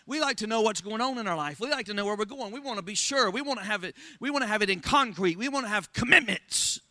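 A very high, piercing male voice with a Southern accent, preaching with fervor and constantly calling on the congregation to answer back. The sound is dry and close, with no room echo. He is a pastor from Florida in full sermon mode. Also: lively intonation, quick pace, pushed and bright. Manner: call-and-response preaching, asks the congregation for amens. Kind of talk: Pentecostal sermon.

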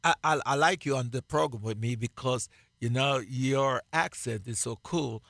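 A man with a Jamaican accent, preaching on a radio broadcast in a slow, emphatic way and repeating key phrases. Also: medium pitch, vocal fry, a bit bright, no echo, studio quality. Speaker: pastor. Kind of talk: radio sermon, co-hosted Bible teaching.

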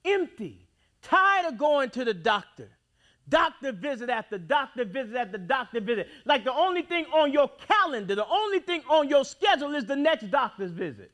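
A man preaching a sermon in a church, with dramatic swings between loud outbursts and quiet, drawn-out phrases. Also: extremely high pitch, sing-song. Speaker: pastor. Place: church sanctuary.

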